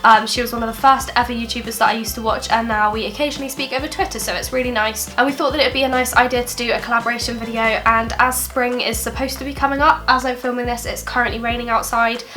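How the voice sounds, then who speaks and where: A woman's voice: fairly high pitch, steady tone, fast, few pauses, pushed and bright, soft background music. A young woman with a stuffy head cold, chatting through a makeup tutorial at her desk with plenty of ums. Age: young adult. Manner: chatty, friendly, many ums. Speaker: beauty vlogger. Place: bedroom desk indoors.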